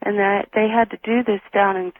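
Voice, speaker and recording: An American man phones in to a radio show over a thin, narrow line. He talks in a deliberate, halting way, feeling his way through what he has to report. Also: sits high, level tone. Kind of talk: halting informal report to a host. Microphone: telephone.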